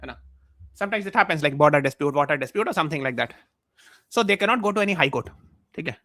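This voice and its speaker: A man's voice: a little high, expressive, very fast, pushed and bright, no room sound. A man with an Indian accent teaching a live online exam class while mildly feverish, explaining points repeatedly and checking with questions.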